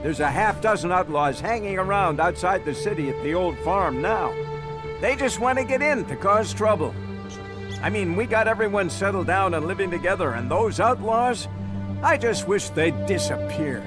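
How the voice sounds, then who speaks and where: A man's voice: sits high, animated, moderate pace, over music. An actor playing a fussy, anxious male city official in a video game, easily flustered. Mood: exasperated. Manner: flustered, stammering when rattled. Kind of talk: video game dialogue acting.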